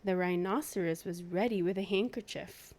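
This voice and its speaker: A woman's voice: fairly low pitch, normal speed. A woman hosting a children's read-aloud, narrating a picture book expressively for young listeners. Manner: clear storytelling with animated asides to young listeners.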